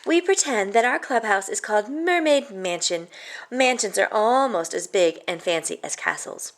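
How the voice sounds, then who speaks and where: A woman reading a children's picture book aloud in an expressive, animated storytelling way. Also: mid-range voice, moderate pace. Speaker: storybook reader.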